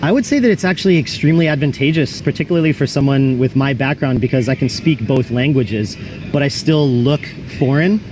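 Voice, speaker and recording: Fast, polished male speaker outdoors. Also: mid-range voice, darkish tone, rough recording. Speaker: debate trainer, interviewee. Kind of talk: street interview answers. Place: busy city street outdoors. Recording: street traffic noise.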